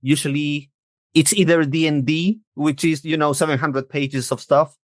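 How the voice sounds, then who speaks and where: A man's voice: fairly high pitch, steady tone, normal speed, no echo, studio quality. A man in his thirties from Chile, a native Spanish speaker, chatting as a podcast guest and often searching for words. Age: 30s. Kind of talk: casual interview chat.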